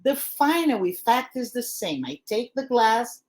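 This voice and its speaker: A woman's voice: on the high side, sing-song, unhurried, darkish tone. A woman with a Brazilian accent, a native Portuguese speaker, giving an academic lecture over a video call with frequent fillers and restarts. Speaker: guest lecturer.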